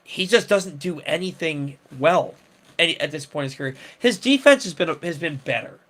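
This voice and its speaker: A man's voice: a little high, animated, moderate pace, a bit bright. A man hosting a casual sports talk show, rambling with many restarts and fillers. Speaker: sports podcast host.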